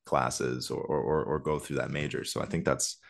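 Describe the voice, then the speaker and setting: A male podcast host with an extremely low, deep voice. He asks long, winding questions that stall and restart along the way. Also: level tone, normal speed, gravelly. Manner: rambling, hesitant questions full of fillers and restarts. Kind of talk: podcast interview.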